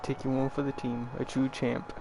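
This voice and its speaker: A man's voice: medium pitch, completely flat delivery, moderate pace, creaky, dark tone, soft background music, poor audio. A man giving casual play-along commentary as a gameplay streamer.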